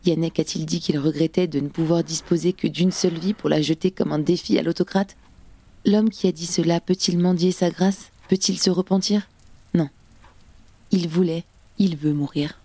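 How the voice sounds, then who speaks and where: A woman's voice: on the low side, steady tone, moderate pace, dark tone, no room sound. An actress playing an anguished, defiant young revolutionary woman in a radio play, grave and intense. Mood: grave, insistent. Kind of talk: French radio play acting.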